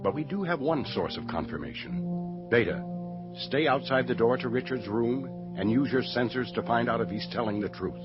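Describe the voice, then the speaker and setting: A man playing a calm, courteous, authoritative rebel general and father in a science fiction radio drama. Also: medium pitch, moderate pace, natural voice. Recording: dull lo-fi audio with music behind.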